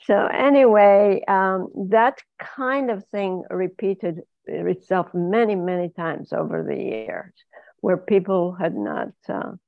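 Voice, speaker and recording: A woman's voice: medium pitch, lively intonation, slow pace, vocal fry, no room sound, narrow, tinny audio. An older woman from Izmir, telling her life story unhurriedly as an interview guest and laughing often. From Izmir, Turkey. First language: Ladino. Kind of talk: remote interview, personal story.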